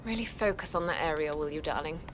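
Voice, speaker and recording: A woman's voice: sits low, fast talker, music in the background, close to an everyday voice. A woman playing a pampered, demanding client in a video game, languid and bossy. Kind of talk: video game cutscene acting.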